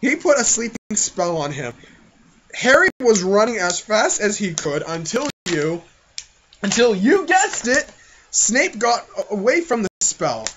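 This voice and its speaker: A man's voice: high voice, very animated, measured pace, a bit bright. A giggly, self-mocking, foul-mouthed man hosting a podcast, reading his own childhood fan fiction aloud with casual banter. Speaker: podcast host. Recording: muffled, noisy home podcast recording.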